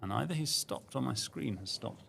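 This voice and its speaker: A man's voice: medium pitch, lively intonation, moderate pace, gravelly, pushed and bright, a little hiss or hum. A man giving casual, chatty sports commentary on a livestream, with self-deprecating asides and frequent restarts.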